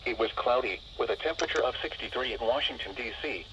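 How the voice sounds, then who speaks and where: A male announcer reads a formal weather report through a small radio receiver. The sound is dull and clearly noisy, and his voice sits at a medium pitch. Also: lively intonation, fast talker, bright, forceful tone. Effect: heard through a VHF radio receiver.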